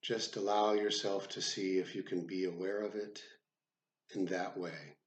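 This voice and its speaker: A man guiding a meditation as an instructor, speaking in a measured, deliberate way with frequent pauses. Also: low-pitched, flat delivery, echoey room. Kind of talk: guided body scan meditation instruction. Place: room with the door left open.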